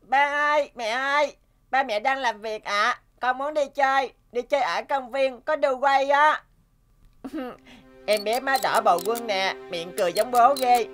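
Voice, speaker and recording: Polite young cartoon schoolboy. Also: female voice, high voice, unhurried, fairly bright tone, soft background music. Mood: playful, affectionate. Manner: polite, earnest. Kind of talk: cartoon voice acting.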